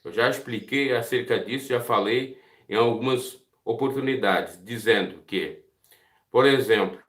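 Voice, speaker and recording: Earnest Brazilian preacher in an echoey room. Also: male voice, medium pitch, unhurried, a bit bright. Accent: Brazilian Portuguese. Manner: earnest, asks listeners direct questions. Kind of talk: devotional Bible teaching.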